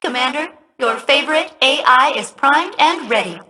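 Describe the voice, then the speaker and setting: A female ship AI from a video game. The voice is fairly high and bright, very precise, polite on the surface and sardonic underneath. There is slight background noise. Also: animated, normal speed, poor audio. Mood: chirpy, polite. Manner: precise, falsely cheerful, sardonic. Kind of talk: comic video game dialogue.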